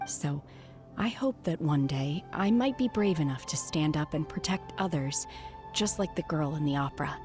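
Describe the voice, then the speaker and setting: A woman voicing a reserved, aloof young woman raised apart from people, speaking calmly and quietly. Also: deep voice, moderate pace. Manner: calm, quiet, detached. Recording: game dialogue with music behind.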